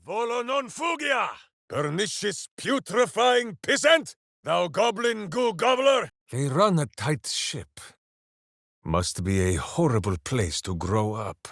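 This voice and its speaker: Boisterous simple-minded ranger hero for a game, declaims slowly, kinda high for a man, super dry recording. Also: very animated, creaky, fairly bright tone, dry recording, full character voice. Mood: booming, heroic. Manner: theatrical, declaiming, speaks of himself in third person. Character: big-hearted, boisterous, simple-minded heroic ranger warrior with a hamster. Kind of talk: video game character voice lines.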